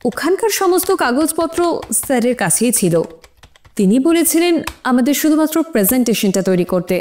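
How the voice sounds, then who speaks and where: A woman's voice: sits high, big pitch swings, fairly quick, darkish tone. A woman playing an earnest young college student on the witness stand in an audio drama, emphatic and slightly defensive. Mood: earnest, puzzled. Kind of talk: audio drama courtroom acting.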